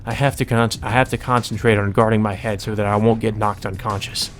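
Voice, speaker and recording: A man's voice: lowish voice, fairly even intonation, a little fast, faint music behind. A man reading visual novel narration aloud as a let's play narrator, in a dramatic storytelling manner.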